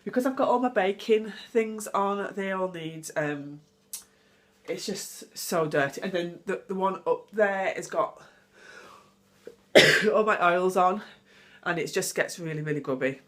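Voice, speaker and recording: A woman's voice: fairly low pitch, measured pace. A young woman vlogging at home, chatty and rambling, sounding congested from a cold. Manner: chatty, rambling, self-deprecating. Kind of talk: casual home vlog. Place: at home, indoors. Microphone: phone or camera mic.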